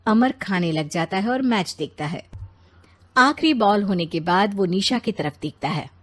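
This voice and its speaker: A woman narrator tells a scripted moral story. Her pitch is medium and the recording is close and free of room echo. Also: expressive, measured pace.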